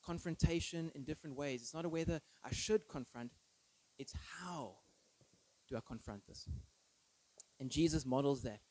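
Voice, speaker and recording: Higher-voiced man preaching conversationally. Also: normal speed, darkish tone, rough recording. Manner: informal, checks in with listeners using tag questions. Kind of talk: conversational sermon with personal anecdotes.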